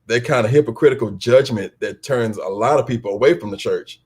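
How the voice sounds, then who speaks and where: A man speaking casually and candidly as an interview guest, halting and repeating himself for emphasis. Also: medium pitch, a little mellow, slightly rough. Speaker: stage play cast guest.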